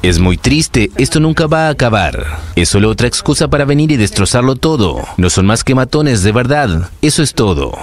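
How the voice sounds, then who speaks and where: A man's voice: mid-range voice, very animated, moderate pace, crowd noise. A man reading scripted international news narration and translated voice-over.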